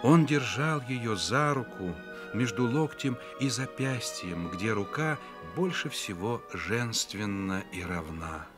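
A man narrating a literary radio play as the author's voice, measured and reflective, with deliberate pauses. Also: on the low side, expressive, music in the background. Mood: lyrical, tender. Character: authorial narrator of a family novel, reflective and solemn. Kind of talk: scripted literary narration, dramatic radio reading.

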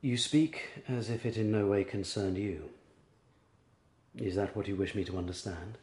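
A man as a proud, low-voiced estranged husband. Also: moderate pace, darkish tone, natural voice. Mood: cold, demanding. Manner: formal, demanding, cold. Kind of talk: period radio drama acting. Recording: echoey room with music.

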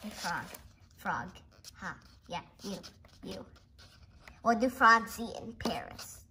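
A young girl hosting a read-along, reading a picture book aloud and reacting chattily in a giggly, excitable way. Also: mid-range voice, slow, background noise. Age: child. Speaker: host of a read-along video. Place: indoors at a dark wooden table. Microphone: camera pointed down at the book.

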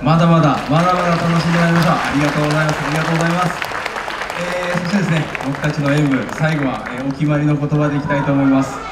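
A man addresses a festival audience from an outdoor stage, speaking quickly in a slightly high, polite voice that builds into rallying calls. His words come through a loudspeaker, heard echoing from well back in the crowd. Crowd chatter and open-air noise fill the background. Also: steady tone. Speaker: yosakoi team leader addressing the crowd. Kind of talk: outdoor event MC speech. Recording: echoey PA heard from far off. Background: crowd noise and open-air ambience.

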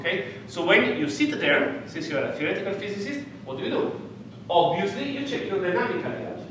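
A middle-aged Italian-accented man lectures with a high, swooping voice and a playful storytelling manner. He is picked up from across a dark, echoey hall, distant from the mic, with steady room noise behind him. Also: quick pace. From Italy. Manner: animated, gesturing, playful storytelling with frequent "okay?" checks. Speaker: physics lecturer. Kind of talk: lively university lecture. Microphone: distant room mic, speaker walking around.

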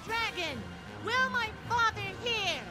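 Cartoon boy, woman acting: very high, eager, slow deliberate delivery over music. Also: lively intonation, full character voice. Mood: hopeful. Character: earnest, eager young boy. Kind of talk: parody anime dub.

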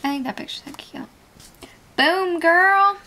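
A woman's voice: extremely high pitch, measured pace, bright, forceful tone. A young woman vlogging in casual chat from a small bedroom, talking into a handheld camera at arm's length. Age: young adult.

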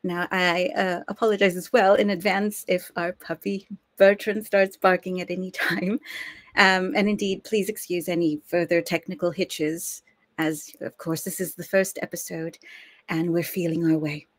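A woman's voice: lowish voice, little pitch movement, moderate pace, no echo. An American woman from the United States in her thirties, hosting a live-streamed history show from her home study in a chatty, friendly way with small fillers. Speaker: host.

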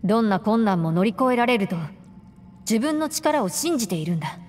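A woman's voice: on the high side, very animated, brisk, darkish tone, slight background noise. A woman playing a level-headed young gang deputy in an anime-style game, explaining things in a matter-of-fact, blunt way. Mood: reflective. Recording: reverberant, with music behind.

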